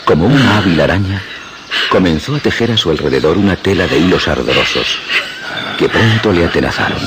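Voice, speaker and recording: A man's voice: deep voice, expressive, brisk, background noise. A man narrating a suspense radio play in a theatrical, literary storytelling manner.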